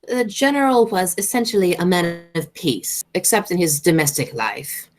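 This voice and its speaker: A woman's voice: lowish voice, lively intonation, moderate pace, natural voice. A woman playing a haughty, formidable older aristocratic aunt in a radio play of a stage comedy. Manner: haughty, pronouncing.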